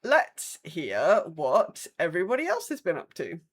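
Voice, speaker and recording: A woman's voice: lowish voice, wildly swooping pitch, a little slow, husky. An English woman co-hosting a podcast in casual chat, hesitant and wry, often correcting herself. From England. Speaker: podcast co-host. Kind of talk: casual chat, reading listener updates.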